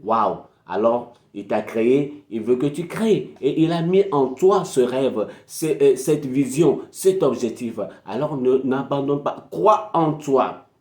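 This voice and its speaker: A man's voice: medium pitch, lively intonation, takes it slowly, a little mellow, reverberant. A man giving an emphatic, preachy motivational talk, repeating phrases for effect. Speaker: motivational speaker. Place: indoor room at a desk with a PC.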